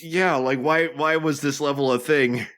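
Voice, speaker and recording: Bright, forceful young male voice. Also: a little high, steady tone, moderate pace. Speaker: let's play co-commentator. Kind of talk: casual joking banter.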